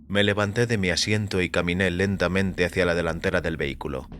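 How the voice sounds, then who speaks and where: A man reading scripted first-person fiction as narrator, in a measured, composed manner. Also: low voice, steady tone, a bit bright, a little hiss or hum. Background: music.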